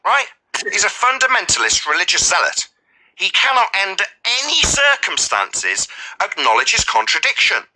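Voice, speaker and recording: Scolding man, high, forceful voice. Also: huge pitch swings, normal speed, low-quality recording. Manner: scolding, domineering, repetitive berating. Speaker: debate host. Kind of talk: heated argumentative debate.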